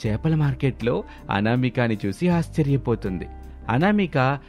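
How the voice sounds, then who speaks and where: A man's voice: medium pitch, lively intonation, a little slow, darkish tone, soft background music. A man narrating a scripted story as its narrator.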